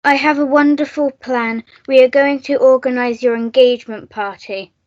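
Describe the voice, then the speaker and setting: A woman's voice: sits high, steady tone, moderate pace, a little mellow, close to an everyday voice. A woman playing a breezy, theatrical upper-class English schoolgirl with a taste for cocktails, in a comic radio melodrama. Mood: gushing, eager. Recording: dull lo-fi recording.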